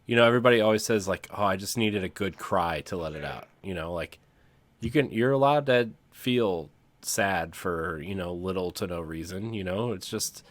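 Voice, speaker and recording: A man talking casually and candidly as a podcast co-host, with a halting, hedging delivery. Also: sits low, dry recording.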